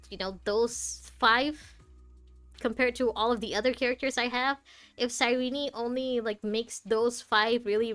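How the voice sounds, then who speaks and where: A woman's voice: on the high side, fairly bright tone, no echo. A woman streaming a game, chatting casually and thinking aloud in a rambling way. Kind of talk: casual chatty playthrough commentary.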